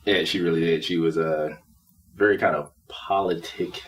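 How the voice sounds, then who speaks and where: A man telling anecdotes casually as a guest, animated and acting out his reactions as he goes. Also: low-pitched, moderate pace. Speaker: guest player on a talk show. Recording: distant mic, faint room noise.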